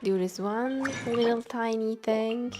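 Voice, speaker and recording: Unhurried, chatty woman streaming a game. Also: on the high side, steady tone. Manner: chatty, reactive. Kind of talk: casual live gameplay commentary. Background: game music.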